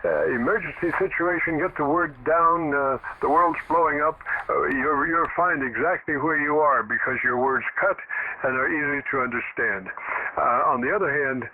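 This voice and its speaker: A man heard over a radio transmission, an amateur operator giving casual technical advice in a halting, deliberate way. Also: a little high. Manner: halting, deliberate, frequent pauses. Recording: noisy, narrow-band shortwave radio reception.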